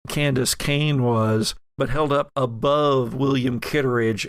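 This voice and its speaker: Male game master for horror tabletop play: unhurried, creaky voice, vivid improvised scene-setting, close dry sound. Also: medium pitch, dry recording.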